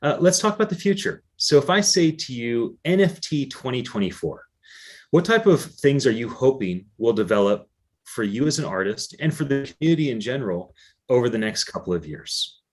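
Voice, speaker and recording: A man's voice: medium pitch, lively intonation, moderate pace. A man hosting a live-streamed interview, friendly and effusive with his guests. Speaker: event co-producer and host.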